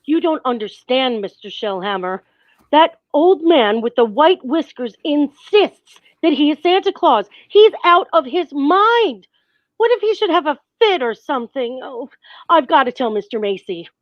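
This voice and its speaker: A woman's voice: high voice, lively intonation, a little slow, no echo, highs cut off. A woman acting a harried, sensible department store executive in a 1940s-style radio play, earnest and exasperated. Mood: alarmed.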